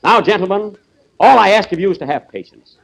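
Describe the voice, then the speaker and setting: A man as a pompous inventor with a lively voice. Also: high voice, brisk, vocal fry, a loud crowd, rough recording. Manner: indignant, theatrical, exasperated. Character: fussy, pompous male inventor professor. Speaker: comedian host. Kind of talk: 1930s radio comedy acting.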